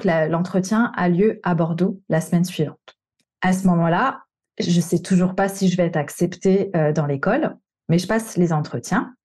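A woman's voice: lowish voice, warm tone. A woman in her thirties from the Paris region, a coach telling her own career story in a candid, conversational way with some slang. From Paris region, France. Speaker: coach and podcast host. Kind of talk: personal storytelling monologue.

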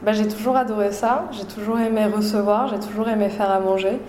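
Parisian-born woman chef, mellow voice, roomy room with faint background noise, lots of ums. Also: medium pitch, brisk. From Paris, France. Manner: relaxed, hesitant with many fillers and restarts. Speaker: chef and restaurant owner, guest. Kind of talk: casual interview.

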